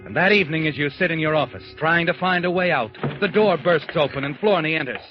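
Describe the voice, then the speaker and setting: A man's voice: a little high, quick pace, lightly acted. A man voicing the Whistler, taunting narrator. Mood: ominous. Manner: taunting, addresses the listener as "you". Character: the Whistler, sinister all-knowing male narrator. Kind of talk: 1940s radio drama narration. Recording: dull old radio broadcast with music.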